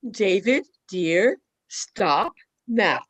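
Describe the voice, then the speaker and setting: A woman playing a doting, credulous mother who speaks gently and soothingly. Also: medium pitch, very animated, drawn-out words, heavily acted.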